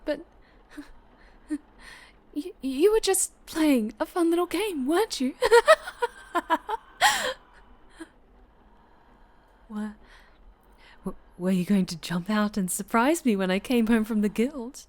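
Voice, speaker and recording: A woman playing a possessive, obsessive winged dark-angel superhero, sinister yet doting, in an intimate, breathy ASMR roleplay that often slips toward whispering. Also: on the high side, expressive, measured pace. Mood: hurt, furious.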